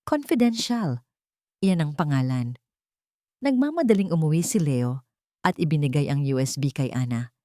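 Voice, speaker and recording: A woman narrates a dramatic love story in a low-pitched voice. The delivery is scripted and measured, with emphatic suspense, and the sound is dry. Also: lively intonation, mellow, dry recording.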